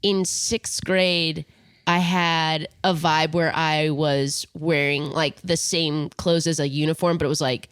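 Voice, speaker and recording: A woman's voice: deep voice, little pitch movement, slow, fairly bright tone, clear, resonant tone, no echo. A woman improv performer chatting casually on a comedy podcast, reminiscing in detail. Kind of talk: improvised musical singing and casual chat.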